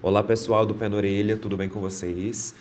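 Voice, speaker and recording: A man's voice: lowish voice, flat delivery, a little fast, a little mellow, slight background noise. A man from Minas Gerais, Brazil, politely and earnestly asking a question in a recorded voice message. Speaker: listener sending a voice message.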